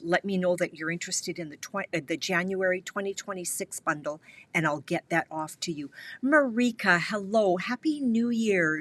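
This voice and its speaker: A middle-aged woman from Canada hosting a live craft tutorial, her voice stuffy and a little scratchy from a cold, chatting and greeting viewers by name. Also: lowish voice. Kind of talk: casual live-stream tutorial chat.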